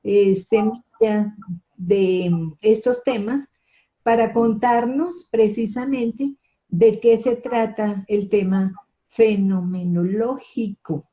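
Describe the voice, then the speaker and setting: A woman's voice: medium pitch, steady tone, slow, warm tone. A woman, speaking as a host introducing a guest. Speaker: host, interviewer. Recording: dull, muffled lo-fi audio.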